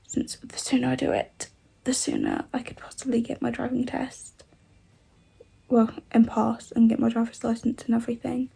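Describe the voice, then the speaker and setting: A twenty-year-old woman from the UK, chatting casually in a vlog while she has a cold. Her voice sounds rough and odd, and she rambles with lots of fillers. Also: fairly high pitch, very animated, normal speed, mellow, low-quality recording. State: congested with a cold. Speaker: vlogger.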